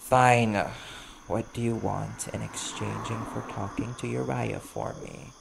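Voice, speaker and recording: A man voicing a wary, desperate vampire bounty hunter in an audio roleplay, blunt and guarded, with a gravelly voice. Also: fairly low pitch, level tone, a little slow. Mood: insistent, guarded. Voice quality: gravelly, creaky. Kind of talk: ASMR audio roleplay acting. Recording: clear background noise, chirping crickets.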